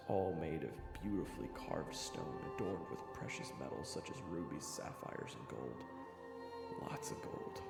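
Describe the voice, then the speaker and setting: Audio drama lead for a man: wry first-person narrator, gravelly, reverberant, conversational and expressive, big music bed. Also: low voice, exaggerated voice. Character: sardonic young man narrating his fantasy adventure. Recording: echoey reverb, loud game music underneath.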